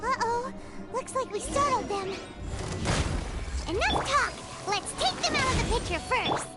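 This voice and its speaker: A woman voicing a tiny, excitable, childlike fairy companion in a video game, squeaky and full of questions and exclamations. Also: a little slow. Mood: alarmed, bossy. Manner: exclamatory, inquisitive, speaks of self in third person. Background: game music.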